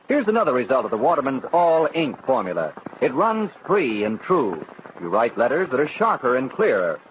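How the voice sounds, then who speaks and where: An American man announcing on 1940s radio in scripted narration, with emphatic, deliberate phrasing. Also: mid-range voice. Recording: scratchy 1940s radio broadcast, narrow phone-like band.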